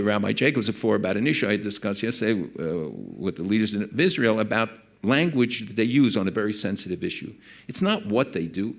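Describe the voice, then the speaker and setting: A man speaking as a panelist at a conference, earnest in tone, his voice climbing high when he recounts conversations. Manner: earnest, rises sharply when recounting dialogue. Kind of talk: panel discussion remarks. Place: conference hall.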